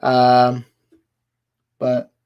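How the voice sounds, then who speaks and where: A man hosting a casual live movie chat, his voice hoarse and worn out, rambling and correcting himself as he goes. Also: medium pitch, completely flat delivery, extremely slow, highs cut off, slight background noise. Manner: rambling, self-correcting, laughs easily. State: hoarse, tired voice. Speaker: livestream host.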